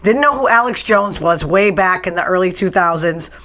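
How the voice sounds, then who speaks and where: A woman's voice: lowish voice, normal speed, fairly bright tone. A woman talk-show host, ranting casually and bluntly with sarcasm, her voice strained from nearly losing it. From New England. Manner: chatty, blunt, sarcastic rants. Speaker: podcast host.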